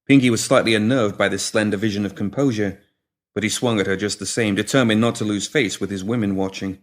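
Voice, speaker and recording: A man's voice: on the low side, fairly even intonation, fast. A man reading a scripted story as an audiobook narrator, in descriptive prose. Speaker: story reader.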